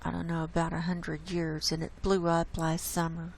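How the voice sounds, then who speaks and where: A hoarse woman hosting her own channel, walking casually through earthquake maps on screen and pointing out each spot with an unhurried, gravelly delivery. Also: on the low side, monotone, a little hiss or hum. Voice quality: gravelly, coughs and clears throat. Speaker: online science channel host. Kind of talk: casual screen-share data report. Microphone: desk mic.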